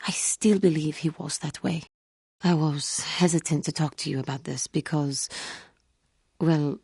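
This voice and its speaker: Casting: female alien Jedi warrior for a game, earnest and wounded, low mellow voice with a husky grain, formal and measured. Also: no room sound, studio quality. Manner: formal, measured, grave phrasing with few contractions. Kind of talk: video game character dialogue.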